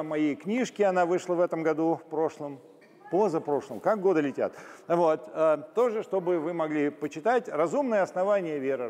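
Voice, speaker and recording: A man's voice: a little high, darkish tone. Genial older man in a reverberant church hall. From Moscow, Russia. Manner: genial, joking, many hesitation sounds. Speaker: guest lecturer. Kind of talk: informal talk to a church audience.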